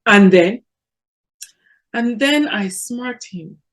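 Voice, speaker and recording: A calm senior wife, as a woman reader puts her on, slow to anger. She keeps her voice low and measured and speaks in few words. A plain, restrained delivery.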